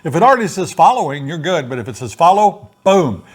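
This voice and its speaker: A man's voice: a little high, fast talker. Folksy older man chatting as co-host. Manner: chatty, folksy, relaxed pace. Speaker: co-host of a retiree channel. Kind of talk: casual chat.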